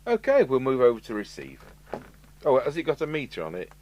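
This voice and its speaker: A man's voice: medium pitch, huge pitch swings, fairly quick, a little mellow. A British man giving casual, matter-of-fact hands-on commentary as a repair technician, thinking aloud while he works. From United Kingdom. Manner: matter-of-fact, musing, talks to himself while working. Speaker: radio repair technician presenting a review. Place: indoor electronics workshop. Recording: clear background noise with electrical hum.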